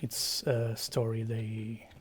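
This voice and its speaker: Portuguese-accented guy streaming, quiet hesitant chat, slow flat voice, lots of uhs. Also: on the low side, a little hiss or hum. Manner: soft and hesitant, drops to whispers, many fillers. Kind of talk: quiet casual chat with viewers.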